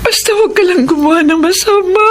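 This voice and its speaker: A woman's voice: extremely high pitch, fast, a little hiss or hum. A woman playing a poor, ailing, loving and morally firm mother in a radio drama, speaking with emotion. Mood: distraught, pleading. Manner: emotional, gently admonishing. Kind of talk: Tagalog radio drama acting.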